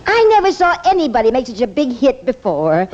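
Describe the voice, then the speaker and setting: An actress playing a folksy, chatty, gushing office helper in a 1950s radio sitcom. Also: female voice, high voice, big pitch swings, fairly quick, darkish tone, a little hiss or hum. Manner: exclamatory, folksy. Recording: muffled old radio recording.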